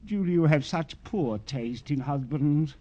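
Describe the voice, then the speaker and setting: An actor playing a gloating, mannered killer with florid, mock-polite speech in a 1950s radio crime drama, on an old broadcast recording. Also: male voice, on the high side, expressive, measured pace, mellow, highs cut off. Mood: sneering. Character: gloating, mannered male killer with sadistic, unstable temper.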